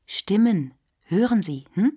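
A woman's voice: medium pitch, expressive, slow pace, mellow, breathy edge. A woman playing a detached, probing psychiatrist who asks clipped questions in a radio play.

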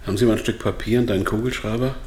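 A man acts out a captive pharmaceutical salesman in a radio play, a slick and evasive type with a lowish voice. He is recorded in an echoing room. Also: steady tone, racing, noisy background, close to an everyday voice. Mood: matter-of-fact, evasive. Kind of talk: German radio drama acting.

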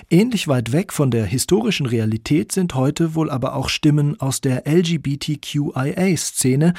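Male radio narrator, standard German accent, husky, very dry studio sound, scripted delivery. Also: a little high, darkish tone, no echo. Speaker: narrator and author of a radio feature.

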